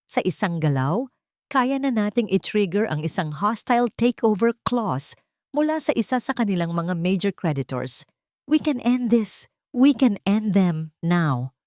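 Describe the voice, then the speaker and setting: A woman narrator playing a calm, deferential lawyer who speaks with measured urgency. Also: medium pitch, dry recording, studio quality, lightly acted. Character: calm but emphatic lawyer reporting to the boss.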